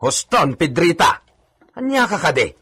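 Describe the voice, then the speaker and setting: A man's voice: on the high side, big pitch swings, a little slow, a bit bright, breathy edge, full character voice. A man playing a loyal, level-headed manservant in a radio drama, with an Ilocano accent. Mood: scolding.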